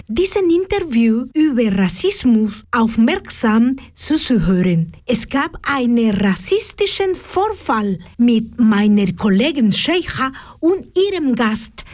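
Lively high-voiced woman radio presenter. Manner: formal, measured. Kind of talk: scripted radio announcement.

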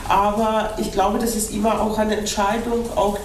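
A woman whose first language is Belarusian, reflecting aloud with frequent hesitations as she leads a panel talk. Her voice sits at a middle pitch. It comes from some way off in a roomy hall, with faint hiss behind it. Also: level tone, normal speed. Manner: thoughtful, hesitant, many fillers. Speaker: translator and moderator. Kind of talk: panel discussion. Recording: echoey room from far off.

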